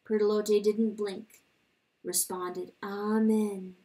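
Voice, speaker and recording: A woman reading a literary story dramatically, in a solemn, deliberate narrating style. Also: medium pitch, darkish tone, distant mic. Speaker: audiobook reader.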